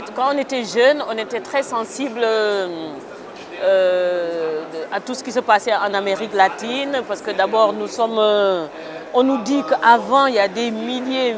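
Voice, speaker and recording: Woman from senegal, author in an interview, slow thoughtful answers full of euhs, a bit high voice, crowd chatter outside. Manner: thoughtful, hesitant with many fillers, vivid expressive exclamations. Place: outdoors in a town. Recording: crowd noise outdoors.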